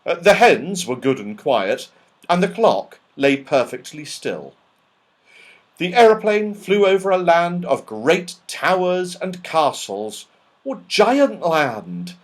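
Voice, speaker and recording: A man reading a children's story with plenty of expression. His pitch is fairly high and very varied, and he takes his time, dramatizing each phrase as a storyteller. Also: sing-song, slow pace. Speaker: audiobook narrator. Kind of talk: animated children's story narration.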